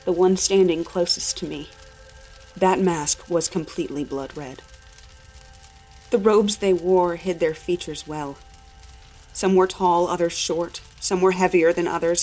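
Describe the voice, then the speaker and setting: Low-pitched woman narrating horror over music. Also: darkish tone, poor audio. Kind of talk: dramatic first-person horror narration.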